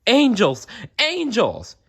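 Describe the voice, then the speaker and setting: A man voicing excited, exclaiming townsfolk in a storybook read-aloud. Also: extremely high pitch, slow, creaky, voice pushed up, full character voice. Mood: startled. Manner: loud, exclaiming. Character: excited crowd shouting in a Bible story. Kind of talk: storybook character voice.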